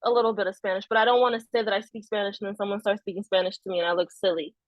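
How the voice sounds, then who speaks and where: A woman's voice: medium pitch, steady tone, very fast, dry recording. Hesitant Haitian Creole-native woman author. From South Florida. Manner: hesitant, many fillers, soft laughs. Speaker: author guest. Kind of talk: casual interview chat.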